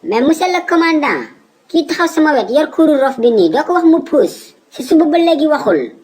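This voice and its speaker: A man's voice: very high voice, big pitch swings, brisk, a little mellow. An actor voicing a cheeky swindling man in a comedy cartoon, with a Senegalese accent and brash street slang. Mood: pleading, wheedling. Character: cheeky swindling man, a father posing as an official.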